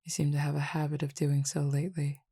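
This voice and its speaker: A woman voicing a calm cupid roommate who feeds on desire, in an audio roleplay spoken to the listener, formal, measured and quietly teasing. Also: low-pitched, slightly rough, no echo, studio quality. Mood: languid teasing.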